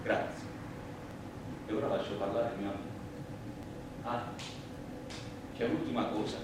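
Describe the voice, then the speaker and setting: An actor playing a plain-spoken, indignant immigrant worker on trial, in simple, emphatic theatrical oratory. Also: male voice, lowish voice, flat delivery, lightly acted. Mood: earnest, solemn. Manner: simple, emphatic, deliberate working-class oratory. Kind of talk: theatrical courtroom monologue. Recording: echoey, distant stage recording, clear noise.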